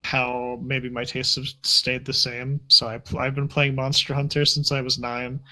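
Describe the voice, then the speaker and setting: A man's voice: medium pitch, completely flat delivery, moderate pace, sharp tone. A young man answering interview questions in a casual, reflective and self-deprecating way. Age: young adult. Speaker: interview guest.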